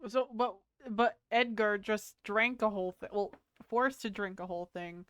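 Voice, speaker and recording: A woman with a very clear, smooth voice reacts casually as a game streamer. Her pitch is average and the sound is dry and close. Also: steady tone, moderate pace, a bit bright, no room sound. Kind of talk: casual chat, live reaction commentary.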